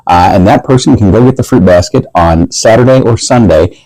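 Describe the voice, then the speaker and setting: Male host, folksy and chatty, relaxed dark tone, dry close sound, casual tasting-show interview. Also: fairly low pitch, fairly quick, no echo, poor audio.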